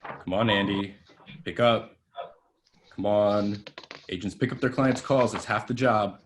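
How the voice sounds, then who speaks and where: A man's voice: fairly low pitch, fairly even intonation, measured pace, a little hiss or hum, natural voice. An actor reading a volatile, washed-up young male TV star in a table read, profane, ranting and bitter. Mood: pleading.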